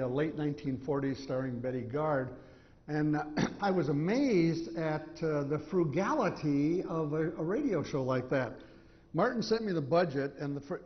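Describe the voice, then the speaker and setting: American man lecturing in a reverberant hall. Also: fairly high pitch. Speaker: author giving convention talk. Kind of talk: informative lecture to an audience. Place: convention hall. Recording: slightly muffled hall recording, long reverb.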